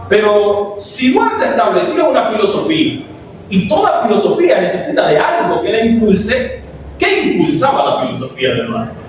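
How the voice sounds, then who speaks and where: Young Dominican man, speech full of rhetorical questions, high animated voice, distant mic in a very echoey hall. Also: slight background noise. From Dominican Republic. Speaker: philosophy student presenter. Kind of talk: academic speech. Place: event hall before an audience.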